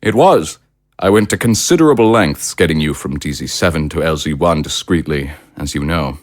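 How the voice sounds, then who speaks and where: An actor playing a suave, self-satisfied political leader in an audio drama, with pompous, elaborately polite diction. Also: male voice, rumbling low, lively intonation, moderate pace. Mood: self-satisfied, persuasive. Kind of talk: theatrical audio drama acting.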